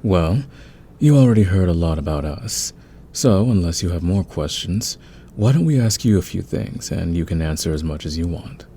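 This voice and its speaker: A man plays an easygoing thief in an audio roleplay, curious about his teammates. His voice is very low and warm, with a relaxed, conversational and encouraging delivery. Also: normal speed, slight background noise. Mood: friendly.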